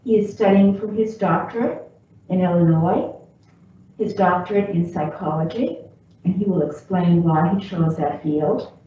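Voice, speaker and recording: A woman giving a formal introduction as an event host, speaking deliberately and with rhetorical repetition. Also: on the low side. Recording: echoey room from far off, muffled, faint noise.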